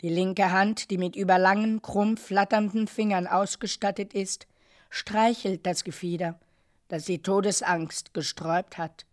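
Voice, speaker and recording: A woman narrates a literary radio drama at a very unhurried pace. Her middle-pitched voice delivers each phrase deliberately and sets it apart with pauses. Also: fairly even intonation, darkish tone.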